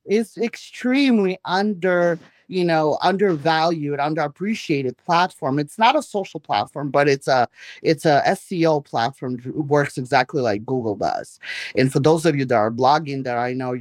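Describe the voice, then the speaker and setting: A woman's voice: low voice, moderate pace, darkish tone, no room sound. Woman in her 40s rambling along, lots of restarts, dry sound. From Belarus. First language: Russian. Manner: chatty, rambling, frequent restarts. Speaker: guest artist. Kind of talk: live-streamed interview.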